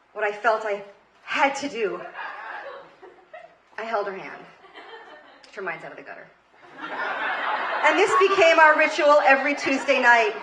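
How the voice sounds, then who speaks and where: A woman from Queens, New York, reading a scripted comedic story live on stage, with theatrical, deliberate delivery and pauses for punchlines. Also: a little high. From Queens, New York, United States. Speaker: comedy show host and storyteller. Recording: roomy live stage recording, faint noise. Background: audience ambience and faint noise.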